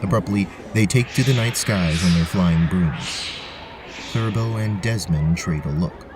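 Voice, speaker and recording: A male narrator tells a fantasy adventure in scripted prose. His voice is low, with music clearly playing behind him. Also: unhurried. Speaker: narrator, game master.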